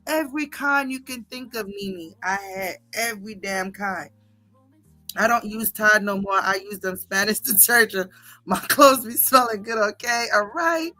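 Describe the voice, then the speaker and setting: Sassy, animated middle-aged New woman. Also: medium pitch, no room sound, faint music behind. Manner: animated, sassy, slangy, drawn-out emphatic words. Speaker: livestream host reading viewer comments. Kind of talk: casual chatty storytelling.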